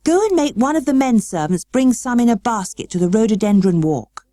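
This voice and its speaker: A woman voicing a frail, imperious, excitable young upper-class boy in an audiobook, well-spoken and emphatic. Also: fairly high pitch, a little fast, dry recording, pitched above the performer's own voice, close to an everyday voice. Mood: commanding. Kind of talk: audiobook character acting.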